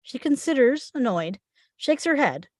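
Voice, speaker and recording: A woman's voice: high voice, very animated, a little slow, no echo, studio quality. A woman reading screenplay stage directions aloud as the narrator of a table read.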